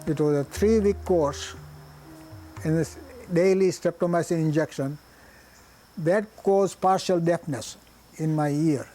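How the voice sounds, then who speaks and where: An older man from Gujarat in India, a native Gujarati speaker with a Gujarati Indian accent, recalling his life in plain, halting speech with pauses and restarts. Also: fairly high pitch, warm tone, soft background music, rough recording. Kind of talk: oral history reminiscence.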